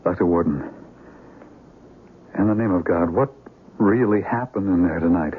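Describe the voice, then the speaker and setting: A man's voice: low-pitched, normal speed, breathy edge. A man playing a shaken, plain-spoken police officer in a radio drama, uneasy and looking for answers. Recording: lo-fi radio recording, faint noise.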